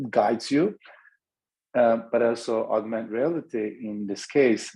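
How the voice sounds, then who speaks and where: A man's voice: medium pitch, warm tone, slightly rough, poor audio. A middle-aged man with a Turkish accent giving a webinar talk, thinking aloud with many restarts. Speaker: startup founder presenting.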